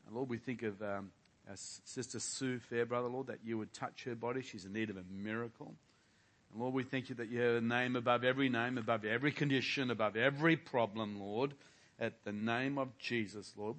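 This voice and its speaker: A man's voice: mid-range voice, a bit bright. An older man, a pastor, leading a prayer and preaching a sermon, talking straight to his congregation and putting rhetorical questions to them. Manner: frequent fillers and restarts.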